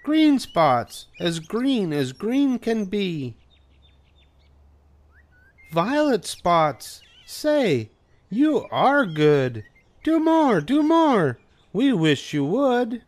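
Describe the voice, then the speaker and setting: A man's voice: high-pitched, very animated, drawn-out words, pitched above the performer's own voice, exaggerated voice. An older man voicing curious, skeptical, then admiring young children in a picture book, in a singsong, exclaiming way. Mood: impressed. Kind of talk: picture book read-aloud.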